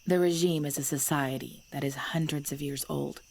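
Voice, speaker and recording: A woman playing a centuries-old vampire mistress in an ASMR audio drama, speaking formally in a breathy, measured voice. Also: low-pitched, fairly even intonation, a little mellow. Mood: grave, resolute. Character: centuries-old vampire mistress, female, cold ruler turned protective.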